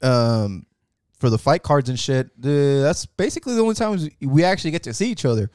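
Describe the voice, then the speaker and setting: A man's voice: mid-range voice, expressive, fairly quick, darkish tone, clean tone, dry recording, studio quality. A young man co-hosting a casual podcast with a friend, laid-back and slangy, swearing casually. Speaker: podcast co-host. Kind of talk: casual chat.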